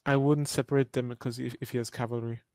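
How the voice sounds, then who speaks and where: A man's voice: medium pitch, little pitch movement, quick pace, warm tone, no room sound, studio quality. A man chatting casually as a co-op gaming partner, giving matter-of-fact tactical advice. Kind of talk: casual tactical gameplay chat.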